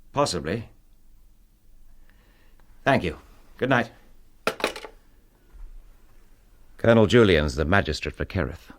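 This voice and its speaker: An actor playing a tormented English gentleman in a radio drama, speaking with intense, bitter, clipped delivery. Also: on the low side, dry recording. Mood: tense, subdued. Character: tormented English gentleman husband confessing to killing his wife.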